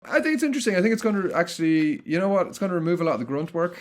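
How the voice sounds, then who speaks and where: A man's voice: sits high, big pitch swings. A middle-aged man answering interview questions in a casual, chatty way, often restarting his sentences. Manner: quick, chatty, frequent restarts. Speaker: guest, content marketing consultant.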